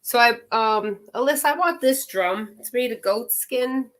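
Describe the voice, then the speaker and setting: A woman with a raspy, hoarse voice from a cold, chatting slowly and chuckling while leading a drumming circle on a video call. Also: a little high, a bit bright. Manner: slow, chuckling, unhurried. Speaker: drumming circle song leader. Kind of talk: casual chat and hand-drum singing. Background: hand drum.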